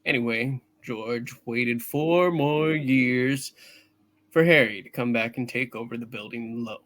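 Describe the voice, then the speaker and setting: A man's voice: medium pitch, a little slow, fairly bright tone. A man narrating a hammed-up play reading in a storytelling style.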